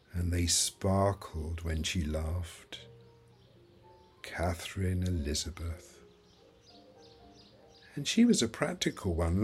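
Actor as grieving old father, low swooping voice. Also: a little mellow, heavily acted. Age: older. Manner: emotional, swinging between snappish and wistful. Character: grieving, irritable elderly widowed father, wracked with guilt. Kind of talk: audio play acting.

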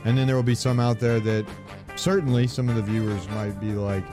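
A man talking casually as a gaming podcast host, halting as he thinks aloud. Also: on the low side, steady tone, over music.

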